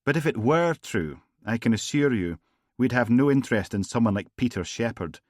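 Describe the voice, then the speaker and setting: A man voicing a smooth, smiling gangster boss with an Edinburgh accent, genteel and falsely courteous. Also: mid-range voice, lively intonation, normal speed, a little mellow, no room sound, clean studio sound, pitched above the performer's own voice, close to an everyday voice. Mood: silky. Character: smooth, smiling male gangster boss, middle-aged, menacing. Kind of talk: audiobook character voice.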